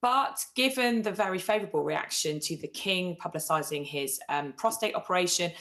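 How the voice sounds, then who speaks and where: Low-voiced British woman giving commentary. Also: normal speed, fairly bright tone. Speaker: royal commentator on a news programme. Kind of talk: live broadcast punditry.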